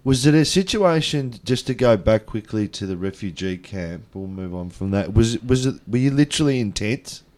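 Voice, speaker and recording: A man with a fairly low voice hosts a radio interview. He asks probing questions in quick bursts and is openly moved by his guest's story. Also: expressive, darkish tone. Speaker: radio host.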